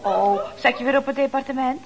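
A woman's voice: high voice, brisk. A woman playing a chatty, ironic secretary at a building firm in a Dutch radio comedy serial, speaking with arch, mock-polite expressiveness. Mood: dutiful, amused. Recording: noisy old radio recording.

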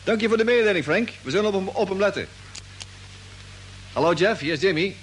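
A man playing an eager, grumbling, chatty spaceship radio operator in lively, colloquial old radio drama style. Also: high-pitched, fast talker. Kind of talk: 1950s Dutch radio drama acting. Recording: old, faintly noisy radio recording.

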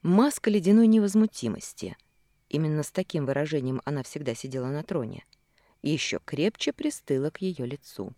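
A woman reading a first-person novel aloud as an audiobook narrator, in a measured, expressive storytelling way with dramatic pauses. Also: low-pitched, dry recording. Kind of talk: first-person fiction narration from a male hero's view.

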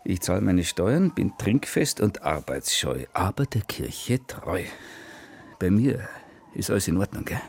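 Husky, cheeky Bavarian rogue. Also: male voice, sits low, sing-song, unhurried, exaggerated voice. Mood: flippant, brusque. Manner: flippant, brusque. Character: cheeky, shifty married lover, hard-drinking and work-shy. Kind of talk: naturalistic radio drama dialogue.

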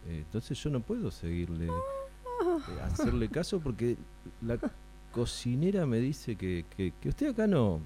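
A man's voice: medium pitch, wildly swooping pitch, measured pace, mellow, slight background noise. A man with an Argentine accent playing a put-upon maintenance worker in a radio drama, stammering, apologetic and complaining, repeating himself evasively. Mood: hesitant, aggrieved. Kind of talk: radio drama workshop acting.

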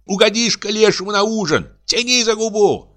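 A man doing a shrill, scolding peasant fisherman. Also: normal speed. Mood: bickering, urgent. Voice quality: shrill, high-pitched. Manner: scolding, shouting, urgent. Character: squabbling peasant fishermen, one shrill, shouting instructions.

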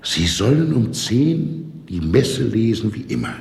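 A man playing a coolly formal, evasive prison warder in a German radio drama, with a deadpan, pedantic manner. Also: medium pitch, unhurried, gravelly. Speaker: radio play actor. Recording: echoey reverberant space, music in the mix.

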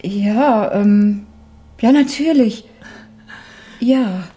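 A woman's voice: medium pitch, very slow, dark tone, slightly rough. A woman in her forties playing a frightened, worn-down schoolteacher in a radio crime drama, speaking anxiously and hesitantly. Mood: uneasy. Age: 40s. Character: distressed, frightened forty-year-old schoolteacher, worn down.

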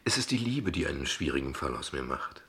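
A man playing an evasive, ironic father in a radio play, speaking wordily and with hesitations, in a husky voice. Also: extremely low pitch, very animated, a little fast, a bit bright, full character voice. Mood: guarded irony. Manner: wordy, sardonic, deflecting, with hesitations. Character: evasive, ironic, verbose father defending his unconventional family. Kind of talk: dialogue radio play acting in standard German.

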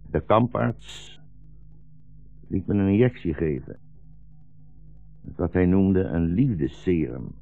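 An actor playing a weary, gentle old blind rabbi in a 1960s radio drama, speaking slowly and solemnly as a philosophical storyteller. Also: male voice, mid-range voice, creaky. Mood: bitter, resigned. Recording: dull, roomy 1960s radio recording.

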